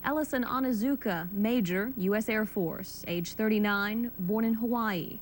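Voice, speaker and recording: An American woman reading scripted television news as an anchor. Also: moderate pace. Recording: old TV broadcast with faint rumble.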